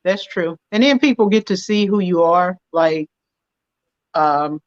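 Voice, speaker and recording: A woman guest chatting casually about business, animated and jumping up high when quoting herself. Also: a little slow, dry recording, muffled recording. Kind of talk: casual chat.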